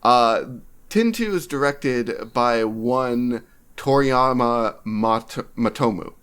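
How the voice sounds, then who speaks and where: A man co-hosting a podcast in casual discussion, speaking in a measured, halting way as he thinks aloud. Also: mid-range voice, fairly bright tone, smooth. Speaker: podcast co-host.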